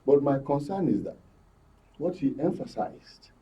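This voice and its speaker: A Nigerian man giving emphatic, halting current affairs commentary as a panel guest, often repeating his words. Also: medium pitch, very animated, dark tone. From Benue, Nigeria. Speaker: studio guest, journalist commentator. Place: broadcast studio panel. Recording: distant mic, dull muffled audio.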